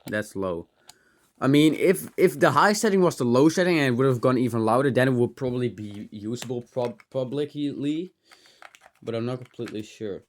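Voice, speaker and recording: A man's voice: mid-range voice, moderate pace. A man giving a casual, unscripted hands-on gadget demo, rambling and thinking aloud with some mild swearing. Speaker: host of a tech teardown channel.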